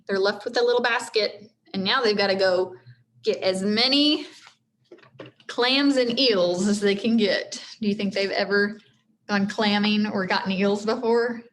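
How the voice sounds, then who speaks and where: A woman reads a children's book aloud in an expressive, teacherly way, turning to address her young listeners. Her voice sits at a medium pitch. The recording has clear background noise. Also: unhurried. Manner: expressive, addresses listeners directly, stumbles over hard names. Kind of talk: read-aloud storybook narration with friendly asides.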